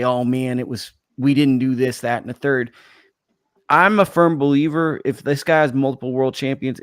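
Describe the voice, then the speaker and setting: Relaxed, analytical man, dry sound. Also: mid-range voice, clean tone, no echo. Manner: relaxed, analytical, reasons things out at length. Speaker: podcast panelist. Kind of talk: casual panel chat.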